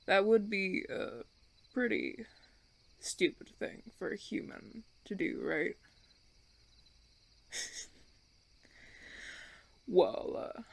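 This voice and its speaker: A man playing a smug, menacing vampire recruiter in an audio roleplay, with a gravelly, creaky voice and theatrical, taunting, drawn-out phrasing. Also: fairly high pitch, heavily acted. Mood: threatening, smug. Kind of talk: ASMR audio roleplay acting.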